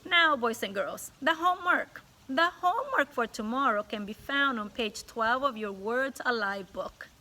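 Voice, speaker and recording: A woman voicing a stern veteran schoolteacher with a deliberate, over-sweet, businesslike way of talking in a storybook read-aloud. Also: on the high side, expressive, sharp tone. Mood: brisk, businesslike.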